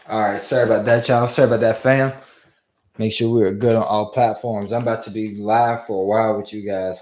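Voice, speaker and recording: Male business coach, livestream host, casual hype delivery, slightly strained voice, roomy reverb. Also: lowish voice. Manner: energetic and informal, addresses viewers as "y'all" and "fam". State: strained voice after losing it. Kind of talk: casual motivational livestream talk. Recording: roomy echo.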